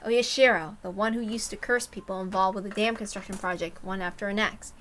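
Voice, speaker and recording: A woman's voice: medium pitch, fairly even intonation, brisk, a bit bright, a little hiss or hum. A woman reading a story aloud expressively, breaking into casual, self-interrupting asides. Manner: self-interrupting, openly flustered over mistakes.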